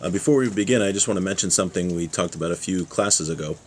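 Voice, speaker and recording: Male religious teacher, lowish voice, informal and explanatory, moves between reading aloud and plain commentary. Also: quick pace, a little hiss or hum. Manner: explanatory, with rhetorical check-ins like "right?". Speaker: religious teacher giving a class.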